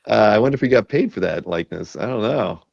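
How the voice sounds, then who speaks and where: A man hosting a casual video-call chat about art, rambling and enthusiastic with lots of fillers, a bit hoarse from a cold. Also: lowish voice, expressive, quick pace, a little mellow, no room sound, dull audio. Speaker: podcast host. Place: home office, video call.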